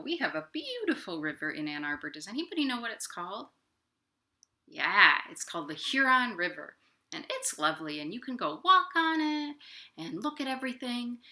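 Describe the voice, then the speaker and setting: An American woman hosting a storytime for young children, reading and chatting expressively and speaking straight to the kids. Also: fairly low pitch. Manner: expressive, addressing children directly with questions. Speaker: children's librarian and storytime host. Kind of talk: animated picture-book read-aloud and chat for young children. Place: indoors at her home.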